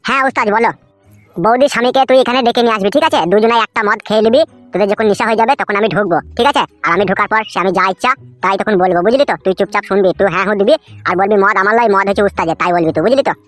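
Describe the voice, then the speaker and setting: A woman's voice: medium pitch, very fast, fairly bright tone, no echo, lightly acted. A woman voicing a scheming, foul-mouthed young male troublemaker in a comedy cartoon, slangy and bossy. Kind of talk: comic cartoon dialogue. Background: music.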